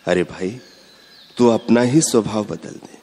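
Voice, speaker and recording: A man giving a measured, instructive spiritual discourse, repeating his points. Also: fairly low pitch, mellow, slight background noise. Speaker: spiritual speaker. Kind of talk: slow devotional discourse. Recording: long hall reverb.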